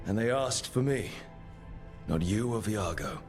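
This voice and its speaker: A man's voice: lowish voice, lively intonation, measured pace, soft background music. A man acting a resolute, plain-spoken leader of adventurers in a video game. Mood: firm, wry.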